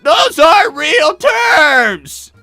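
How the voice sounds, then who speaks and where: A man's voice: very high-pitched, big pitch swings, very slow, bright, forceful tone, shouting, soft background music, exaggerated voice. A man voicing an earnest young male cartoon fox in improvised livestream comedy, stammering and protesting. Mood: outraged.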